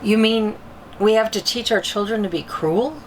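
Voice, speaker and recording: A woman's voice: medium pitch, normal speed, a crowd in the background, lightly acted, low-quality recording. A woman playing a concerned, curious mother who interviews an expert in a scripted drama, wide-eyed and sympathetic. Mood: concerned, questioning. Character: concerned, curious mother seeking diet advice. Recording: clear music bed behind the voice.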